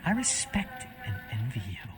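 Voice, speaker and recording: Taunting, fawning vampire's servant. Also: male voice, fairly high pitch, huge pitch swings, moderate pace, soft background music. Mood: bitter, fawning. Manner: taunting, theatrical, shifting from loud to hushed. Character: cynical human blood-addicted vampire servant, bitter and fawning. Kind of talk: video game voice acting.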